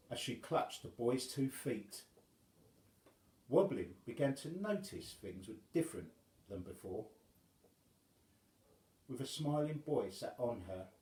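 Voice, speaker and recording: A man reading a rhyming children's story aloud as an expressive storyteller. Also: on the low side, echoey room.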